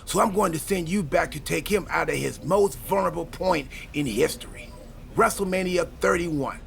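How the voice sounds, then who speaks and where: A man playing an excitable, goofy time-travelling wrestler in a video game cutscene, wisecracking through dramatic exposition. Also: on the high side, moderate pace, creaky. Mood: eager planning.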